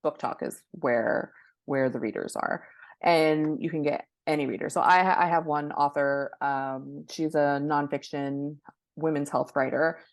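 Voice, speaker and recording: Low-voiced woman explaining, close dry sound. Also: fairly even intonation, a little slow, vocal fry, no room sound. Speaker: guest book marketing expert. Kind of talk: conversational interview explanation.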